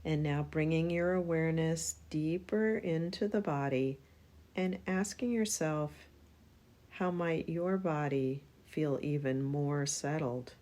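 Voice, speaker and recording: A woman leads a yoga nidra practice in a low, mellow voice. She speaks very slowly and soothingly. Long pauses separate her short phrases, as in a guided meditation.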